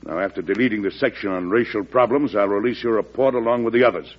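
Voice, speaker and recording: An exasperated American president played by a male actor in an old radio drama. His voice is low and husky, firm and full of authority. The recording is muffled and vintage. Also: quick pace, close to an everyday voice. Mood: angry, commanding. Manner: authoritative, firm, pointed questioning. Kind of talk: classic radio drama acting.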